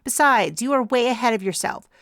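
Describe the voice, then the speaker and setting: A woman's voice: on the high side, lively intonation, fairly quick, a bit bright, no room sound, studio quality, voice pushed up. A woman voicing a tearful young woman with a clogged nose. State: crying, stuffy nose.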